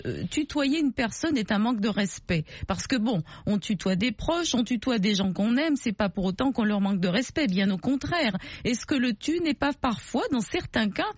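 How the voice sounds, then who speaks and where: A woman's voice: on the high side, slightly rough. A woman hosting a call-in radio show, asking probing questions and rephrasing them when unclear.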